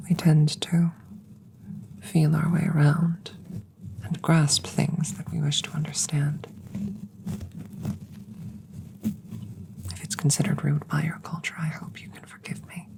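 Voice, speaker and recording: A woman voicing a curious, calm, gentle female alien scientist in a measured, formal whisper for an ASMR roleplay. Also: sits low, gravelly. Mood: fascinated, gentle. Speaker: audio roleplay voice performer. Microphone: close microphone.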